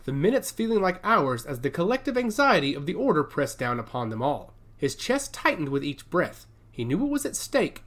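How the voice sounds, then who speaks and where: Audiobook narrator for dystopian fiction, hugely varied intonation, scripted and dramatic delivery. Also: mid-range voice.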